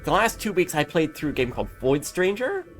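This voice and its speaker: A man's voice: a little high, big pitch swings, a little fast, fairly bright tone, faint music behind. A man co-hosting a podcast, explaining at length in casual chat with easy swearing. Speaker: podcast co-host.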